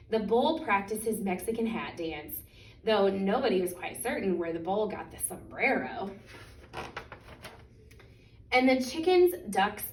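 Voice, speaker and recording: A woman reads a picture book aloud for children in an expressive, deliberate way, stretching out key words. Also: mid-range voice, a little hiss or hum. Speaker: children's story reader. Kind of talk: animated picture-book storytime reading. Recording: echoey room, distant microphone.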